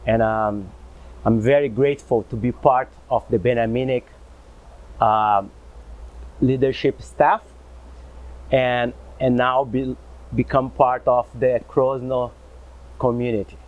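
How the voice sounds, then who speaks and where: Brazilian man, coach type, upbeat, halting delivery, dull recording. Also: mid-range voice, steady tone. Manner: upbeat, halting with many fillers. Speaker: football coach. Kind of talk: interview answers. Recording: slightly dull, lo-fi sound.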